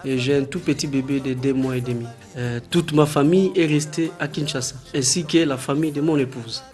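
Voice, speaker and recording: A man in his thirties from the Democratic Republic of the Congo, a Congolese language his mother tongue, talking earnestly with halting restarts in a documentary interview. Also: medium pitch, fairly even intonation, darkish tone, soft background music. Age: 30s.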